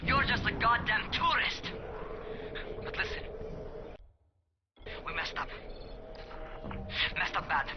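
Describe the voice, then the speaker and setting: Casting: young hothead game survivor, lightish high pitch, noisy, dull sound. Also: male voice, animated, slow pace, long pauses. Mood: defiant, rattled. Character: hot-headed, defiant young man, zombie survivor. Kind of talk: video game cutscene acting.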